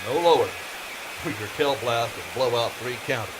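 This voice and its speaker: A male amateur actor plays a rocket project chief in a fifties-style radio drama. He instructs insistently in a medium-pitched male voice, plain-spoken and careful, as one responsible for a dangerous job. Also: lively intonation, moderate pace, background noise, close to an everyday voice. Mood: businesslike, insistent. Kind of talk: amateur retro 1950s sci-fi radio play acting.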